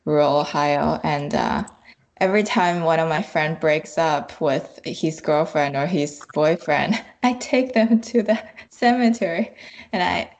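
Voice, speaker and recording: A woman chatting casually and haltingly, often searching for words. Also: sits low, darkish tone. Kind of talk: casual group chat. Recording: clearly noisy background.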